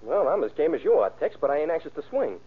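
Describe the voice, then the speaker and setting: A man's voice: on the high side, sing-song, fast, husky. A man playing a gangster's wary but willing henchman in an old-time radio crime drama, with clipped, slangy tough talk. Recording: tinny, faintly noisy old radio recording.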